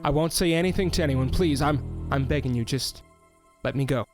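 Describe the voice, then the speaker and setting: A man voicing a selfish male mayor held captive, who shouts and pleads in panic, in an audio drama. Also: medium pitch, brisk, faint music behind, natural voice. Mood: pleading.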